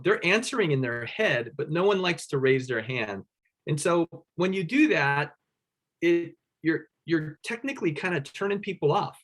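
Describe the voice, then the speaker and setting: A man's voice: fairly high pitch, a bit bright. A man with a Pittsburgh-area American accent presenting a networking workshop in a casual, conversational way, often checking in with "right?" and using fillers. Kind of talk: casual instructional talk.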